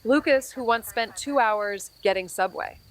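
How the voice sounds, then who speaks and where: An actress playing a weary, wry amateur investigator in a noir audio drama, with measured, deadpan delivery. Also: female voice, on the high side. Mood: wry, bitter. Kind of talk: scripted noir audio drama, narration and dialogue.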